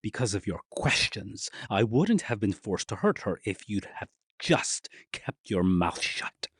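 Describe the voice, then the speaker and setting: A man's voice: fairly low pitch, very animated, husky, no echo, studio quality, exaggerated voice. A man playing a menacing, controlling figure in an audio drama, with a condescending, coldly threatening manner. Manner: deliberate, condescending, sinister.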